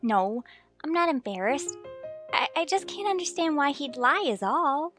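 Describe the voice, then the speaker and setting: A woman's voice: high-pitched, expressive, a little slow, over music. An American actress playing an impulsive, stubborn married woman sleuth in an old radio drama, exclaiming and stressing her words. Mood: flustered, stubborn. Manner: exclamatory, emphatic. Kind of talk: mid-century radio drama acting.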